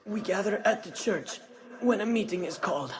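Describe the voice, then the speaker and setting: A high, bitter dying conspirator. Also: male voice, animated, moderate pace, a crowd in the background, poor audio. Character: defiant dying man, bitter and cornered.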